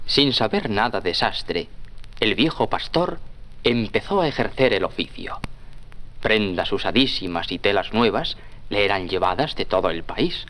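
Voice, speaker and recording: A man's voice: fairly low pitch, expressive, a little slow, a bit bright, a little hiss or hum. A man narrating a scripted children's story as the storyteller.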